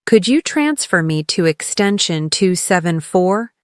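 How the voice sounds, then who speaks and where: A woman leading a scripted English lesson as a teacher, encouraging the learner and clearly enunciating model phrases for repetition. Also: on the low side, very animated, measured pace, dry recording, clean studio sound. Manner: encouraging coaching.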